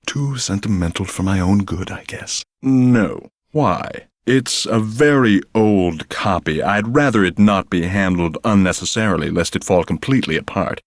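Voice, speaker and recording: A lofty, prickly psychic who talks down with fussy precision. His voice sits low and the recording is dry and close, with no room around it. It is game dialogue, prim and a touch sarcastic. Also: expressive, moderate pace, no echo. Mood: wistful, prim. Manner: pompous, precise, mildly sarcastic. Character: pompous fussy male psychic, proud and prickly. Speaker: psychic character in a mystery game. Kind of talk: video game voice acting.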